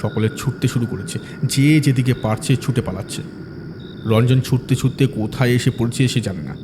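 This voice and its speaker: A man narrating a village ghost story in a measured, dramatic manner. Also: medium pitch, noisy background. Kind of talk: scripted horror audio story narration.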